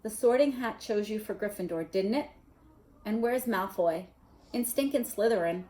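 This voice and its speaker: A woman's voice: medium pitch, normal speed, warm tone, lightly acted, poor audio. A woman reading a storybook aloud as an earnest, loyal, eager young schoolboy wizard, speaking emphatically. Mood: encouraging.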